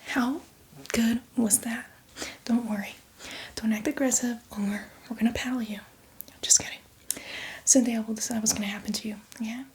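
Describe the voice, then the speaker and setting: A woman's voice: on the high side, fairly even intonation, moderate pace, a little mellow, lightly acted. A woman playing a hardcore, teasing zombie mentor in a whispered, coaxing ASMR roleplay with playful asides. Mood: encouraging.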